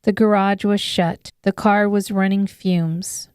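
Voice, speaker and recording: Slow, smooth woman narrating dark fiction. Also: medium pitch, fairly even intonation, no echo, studio quality. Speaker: audiobook narrator. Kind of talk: scripted dramatic story narration.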